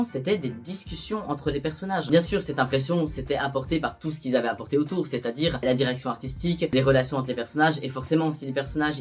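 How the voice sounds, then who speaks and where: A young man giving a casual, chatty review of a musical, animated and self-mocking. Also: medium pitch. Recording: soft background music.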